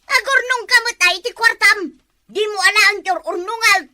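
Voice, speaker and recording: A woman's voice: very high voice, moderate pace, full character voice. A woman with an Ilocano accent playing a village woman who nags and scolds about money in a comedy drama. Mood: scolding. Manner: scolding, exclamatory. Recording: loud music bed behind the voice.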